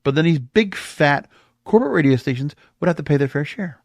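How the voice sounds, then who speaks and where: A man's voice: on the high side, lively intonation, fairly quick, darkish tone, dry recording. A man with an American accent delivering opinionated podcast commentary as host, emphatic and stretching words for stress. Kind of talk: opinionated solo commentary on the music industry.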